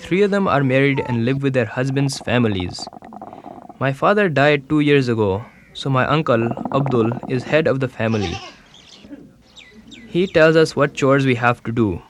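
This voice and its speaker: A man voicing a young village schoolboy, telling his story in a calm, measured documentary voice-over. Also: medium pitch, noisy background, lightly acted. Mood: earnest. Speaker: documentary narrator. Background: music.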